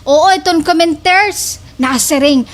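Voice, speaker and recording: Woman acting a very high-pitched coaxing daughter. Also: unhurried. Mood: excited, pleading. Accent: Filipino, Waray. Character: coaxing, dramatic daughter of a village household. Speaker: actor in a radio drama. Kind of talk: slapstick satirical radio comedy acting.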